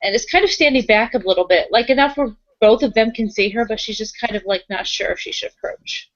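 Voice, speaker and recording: Woman, fairly high pitch, casual roleplay narration, muffled lo-fi recording. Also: a little fast, no echo. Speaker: roleplay game player.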